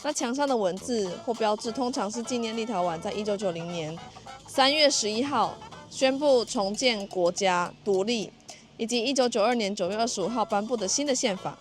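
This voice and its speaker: A woman's voice: fairly high pitch, brisk. A woman giving informative travel narration, explaining local history in an orderly way. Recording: light music under the voice. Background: music.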